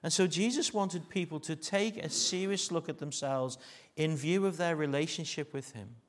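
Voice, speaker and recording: Man, Liverpool accent, middle-aged, preacher, sermon, jokey asides, earnest, sinks to a hush. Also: fairly high pitch, normal speed. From Liverpool, England.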